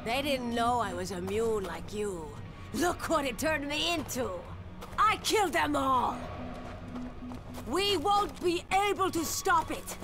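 A desperate, fatalistic mutant woman in a zombie video game. She speaks in a high voice with grave, dramatic emphasis over clear background music. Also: expressive, takes it slowly. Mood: bitter despair. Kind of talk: video game cutscene acting.

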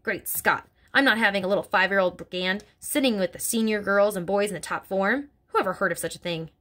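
A woman's voice: mid-range voice, brisk, a bit bright, close to an everyday voice. A woman barking as a bullying giant headmistress. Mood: bellowing scorn. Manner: barking, snorting, contemptuous. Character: bullying hulking female headmistress, bellowing.

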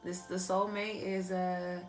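A woman giving a casual, improvised card reading to an online audience, talking in an animated, slangy way and swearing freely. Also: lowish voice, slow. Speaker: tarot card reader, online host. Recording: music playing behind the voice. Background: pop and R&B songs playing.

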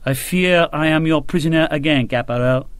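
A man voicing a courteous, grey-haired older colonel with a soft, sad manner. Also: a little high, normal speed, clear, resonant tone, no echo, lightly acted. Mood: gentle.

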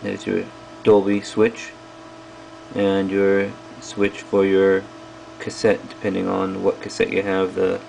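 Low, gravelly man, flat casual review. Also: a little hiss or hum, poor audio. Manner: halting, piecemeal delivery while handling items. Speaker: video host reviewing old electronics. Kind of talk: casual hands-on product review. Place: small indoor workroom at a bench.

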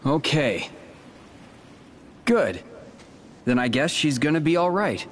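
An actor playing a brooding, caring young warrior in a video game, serious and subdued in manner. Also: male voice, on the high side, sing-song, slow pace, a bit bright, a little hiss or hum, heavily acted. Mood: relieved. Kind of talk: video game cutscene acting.

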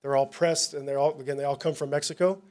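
A man, a police narcotics officer, giving a casual, halting presentation at a town hall, with many fillers and restarts. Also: fairly high pitch, fairly even intonation, darkish tone.

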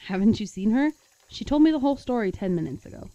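A woman's voice: a little high, expressive, fast talker, mellow, lo-fi sound, lightly acted. A woman playing an easygoing, concerned niece in a casual, conversational way in an audio drama. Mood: casual, puzzled.

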